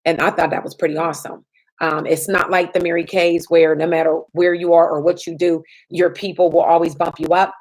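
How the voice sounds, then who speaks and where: A woman insurance trainer answers viewer questions in a chatty live stream. Her voice sits a little low and has a slight rasp from lack of sleep. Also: level tone, a little fast, a little mellow, low-quality recording.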